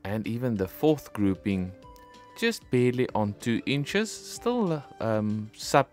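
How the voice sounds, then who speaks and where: A middle-aged man presenting a shooting vlog in a casual, expressive way, talking straight to his viewers. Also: medium pitch, slow, soft background music. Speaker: host of an airgun shooting channel.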